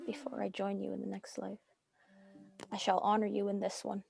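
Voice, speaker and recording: A woman's voice: mid-range voice, monotone, moderate pace, vocal fry, darkish tone. A woman playing a grieving, defiant widow bent on revenge in a dramatic script reading. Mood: vengeful resolve.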